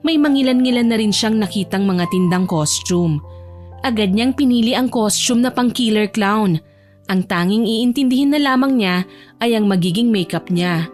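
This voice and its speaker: A woman narrates a scripted story as its storyteller. Her voice is on the high side, with soft music underneath.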